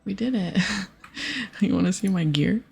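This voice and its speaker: A woman speaks in a very breathy, husky murmur with heavy creak, often slipping into a whisper. She gives casual, gentle ASMR game commentary and reads text aloud. Soft music plays behind her. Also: fairly low pitch, normal speed. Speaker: video game streamer. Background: music, keyboard clicks.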